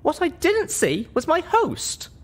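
Man as small female pony heroine, high voice, stubborn, emphatic, lively storybook character. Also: slow, full character voice. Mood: indignant, insistent.

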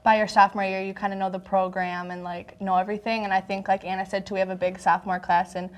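A woman's voice: medium pitch, level tone, fast talker. A young woman college basketball player answering interview questions casually, full of "um" and "and stuff". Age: around 20. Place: small TV studio, indoors.

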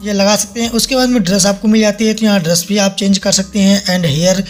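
High-pitched man giving a tutorial. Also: fairly even intonation, quick pace. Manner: repetitive instructional phrasing. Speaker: tutorial host. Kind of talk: step-by-step app tutorial narration. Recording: faint music behind the voice. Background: soft background music.

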